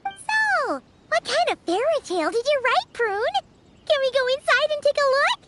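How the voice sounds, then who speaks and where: A woman's voice: very high-pitched, big pitch swings, moderate pace, very noisy. A woman voicing a tiny flying fairy girl in an anime-style video game, cheeky, curious and childlike, with a chirpy manner. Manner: refers to self in third person, chirpy.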